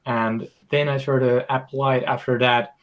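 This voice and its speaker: A young man with a Finnish accent, a game designer answering interview questions modestly, a bit nervous and halting. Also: medium pitch, flat delivery. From Finland.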